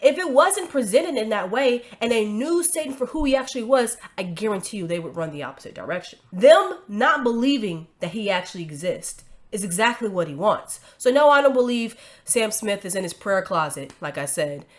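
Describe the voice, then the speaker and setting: A woman's voice: mid-range voice, very animated, a little fast, few pauses. A woman hosting a commentary video, talking casually with a stuffy nose from a cold, emphatic and chatty with frequent tag questions.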